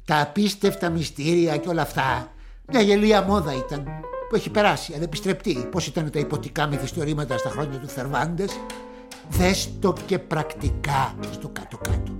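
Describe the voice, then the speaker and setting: A patronizing veteran writer, swings his low voice up and down as he coaxes a young journalist. Music runs beneath every line of this radio play. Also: lively intonation. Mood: condescending. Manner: patronizing, coaxing. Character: seasoned male writer advising a young journalist. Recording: music playing underneath.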